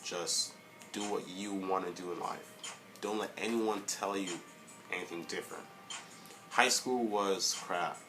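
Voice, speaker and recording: A young man giving a casual motivational vlog monologue, halting, with many fillers and restarts. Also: low voice, over music. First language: English. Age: young adult. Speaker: vlogger.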